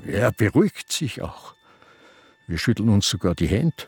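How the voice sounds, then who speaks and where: A gravelly old Viennese man. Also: lowish voice, sing-song, no room sound, heavily acted. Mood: brooding memory. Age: older. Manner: halting, musing, confessional. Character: elderly man in a sanatorium, brooding, remembering. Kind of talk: radio play acting with inner monologue.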